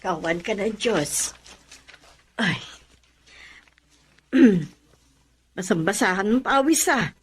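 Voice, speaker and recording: A woman's voice: medium pitch, lively intonation, takes it slowly, pauses often, a little hiss or hum. A woman playing a kindly, motherly older woman in a Tagalog radio drama, doting and solicitous. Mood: doting, worried.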